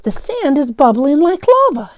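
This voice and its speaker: A woman reading a storybook aloud as an excited, frightened young boy, exclaiming urgently. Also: high voice, moderate pace, dry recording, voice pushed up. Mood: alarmed. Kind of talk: children's storybook read-aloud.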